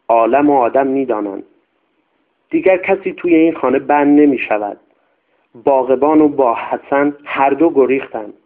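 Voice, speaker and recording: A man's voice: medium pitch, natural voice. A man voicing an old, hunched female servant who is superstitious and fearful, in a tinny radio recording.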